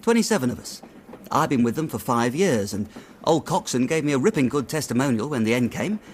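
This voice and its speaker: An actor playing an earnest, worried young city clerk in a radio drama, chatty and slangy but deferential. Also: male voice, mid-range voice, animated, a little fast, a little hiss or hum, natural voice. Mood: rueful. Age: young adult.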